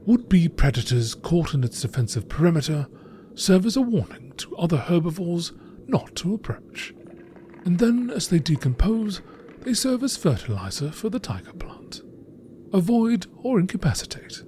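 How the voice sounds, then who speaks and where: A man's voice: sits high, very animated, moderate pace, warm tone, slight background noise. A man reading scripted entries as a narrator in the style of a nature documentary.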